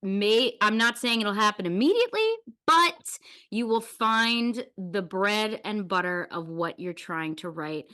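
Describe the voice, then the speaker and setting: A woman's voice: medium pitch, animated, measured pace, pushed and bright. A woman hosting a casual podcast interview, talking in an informal, emphatic and encouraging way. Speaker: podcast host.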